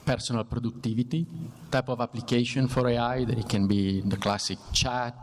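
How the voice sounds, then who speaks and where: A man's voice: medium pitch, steady tone, fairly quick. A man with an Italian accent speaking as an executive on a semi-formal discussion panel. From Italy. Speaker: panelist, chief information officer. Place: event room before an audience. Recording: reverberant hall, faint background noise.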